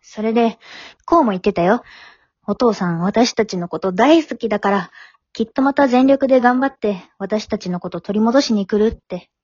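A woman acting in an amateur radio drama as a frank, matter-of-fact teenage daughter who chides gently. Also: on the high side, lively intonation, normal speed, breathy edge, dry recording, muffled recording. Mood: firm, supportive. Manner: matter-of-fact, teasing, plain-spoken. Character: frank, forgiving teenage high-school daughter, gently chiding.

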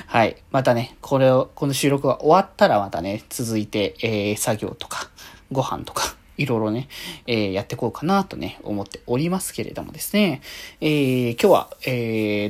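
A man hosting a solo podcast, chatting casually through his thoughts on an anime episode in a polite but conversational way, often trailing off into soft sentence-end particles. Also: fairly high pitch.

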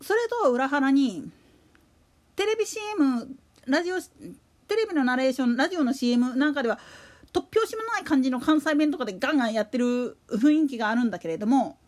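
A woman's voice: high voice, lively intonation, a little fast. A woman giving casual solo commentary as a podcast host, rambling and opinionated, with emphatic repetition.

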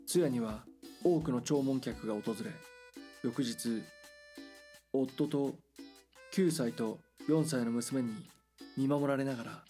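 A man narrates a scripted true-crime audio drama. His voice sits in a middle register and he speaks in a serious, measured, reporting manner. Soft music plays underneath. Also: mid-range voice.

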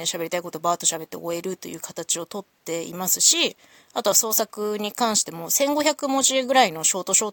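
A woman's voice: lowish voice, a bit bright, no echo. A woman hosting a solo audio show, talking casually to listeners in a polite, reflective, explanatory way.

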